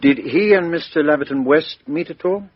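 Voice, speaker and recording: An actor playing a brisk, blunt British police detective in a radio mystery drama, pressing his questions in a matter-of-fact way. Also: fairly high pitch, breathy edge, no room sound. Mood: businesslike, probing. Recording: dull lo-fi radio drama recording.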